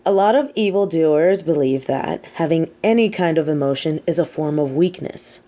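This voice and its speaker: A woman playing a sardonic, teasing supervillain with a hidden soft side, talking straight to the listener in an audio roleplay with theatrical, mocking emphasis. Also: sits low, expressive, normal speed. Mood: musing, lecturing. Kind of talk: scripted audio roleplay monologue spoken to the listener.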